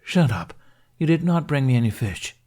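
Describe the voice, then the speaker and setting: A man voicing a proud, gruff male dragon in an audiobook, speaking bluntly and formally with grudging fondness. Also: medium pitch, lively intonation, normal speed, a little mellow, voice pushed up, natural voice.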